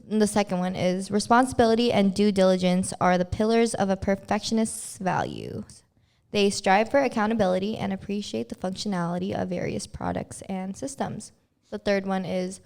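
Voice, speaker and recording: A young woman co-hosting a casual podcast, chatty and quick to laugh as she reads out personality test results. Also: mid-range voice, vocal fry, darkish tone. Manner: giggly, chatty, laughs often. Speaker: podcast co-host. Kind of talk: casual chat, reading test results aloud.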